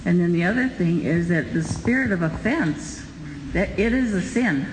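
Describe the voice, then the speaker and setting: A Bible study member making a halting comment full of fillers. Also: medium pitch, lively intonation. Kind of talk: group discussion comment. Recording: distant from mic in echoey room with noise.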